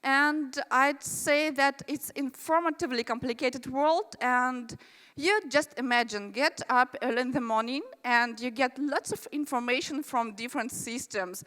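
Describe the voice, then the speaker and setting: Russian-accented woman presenter at a conference, bright, smooth and clear, echo of a large hall. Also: high voice, a little slow. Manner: friendly, chatty, with asides to the audience. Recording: reverberant hall.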